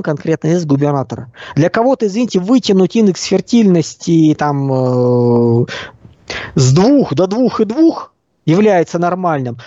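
A man with a high but soft-edged voice delivers political commentary. He explains things didactically and emphatically, breaking points into clipped phrases. The sound is close and dry, slightly dulled. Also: no echo, lo-fi sound. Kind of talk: opinionated talk, explaining policy.

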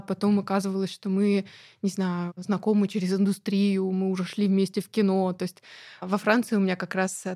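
A woman guest talking in a relaxed interview, chatty and lively, with many fillers and light laughs. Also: medium pitch. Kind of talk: casual conversational interview.

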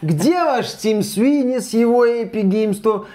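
Male co-host, notably high voice. Casual ironic games commentary, joking, with mock corporate exchanges. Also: unhurried, mellow. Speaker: co-host of a gaming news show.

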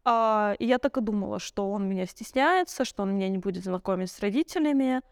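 A woman's voice: medium pitch, a little fast, smooth, no echo, studio quality. A young woman telling personal stories in a candid, conversational way, reflective and searching for words as a podcast guest. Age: young adult.

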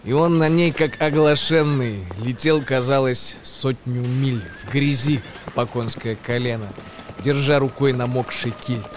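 A man, an actor, narrating a tale in verse for a radio play in an expressive, declamatory way. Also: medium pitch, a little slow, a little hiss or hum.

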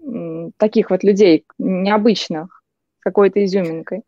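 A woman's voice: medium pitch, fairly even intonation, normal speed, warm tone, no echo. A young woman from Russia, a journalist guest, telling casual stories in a chatty way and often restarting her sentences. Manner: chatty, anecdotal, frequent restarts. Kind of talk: casual interview chat. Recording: dull lo-fi dry sound.

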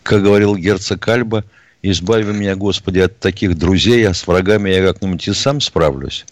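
A man's voice: deep voice, a little fast, no room sound. A man co-hosting a call-in radio show, blunt and scolding, with folksy sayings. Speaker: radio co-host, retired colonel. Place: radio studio.

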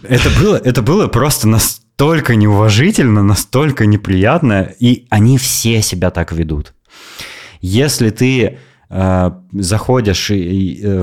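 A podcast host with a very deep voice tells a travel story over casual chat. He speaks with lively, opinionated conviction.

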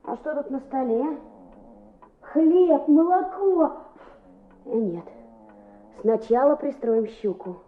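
An actress playing a lively, boastful small schoolboy in a radio play, muttering and exclaiming expressively to himself. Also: female voice, high voice, slow pace, long pauses, phone-quality sound, slight background noise. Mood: grumbling, busy. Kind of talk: Soviet-style radio play acting.

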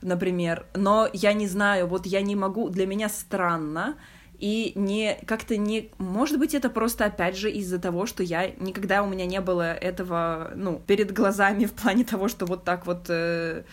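A woman's voice: mid-range voice, steady tone, normal speed. A young woman hosting a podcast, speaking in a frank, reflective and casual way, feeling for her words as she goes. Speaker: podcast host. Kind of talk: candid casual conversation.

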